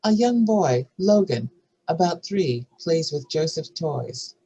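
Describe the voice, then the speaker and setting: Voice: mid-range voice, lively intonation, slow pace, mellow, slightly rough, rough recording. A narrator reading screenplay stage directions aloud as scripted narration.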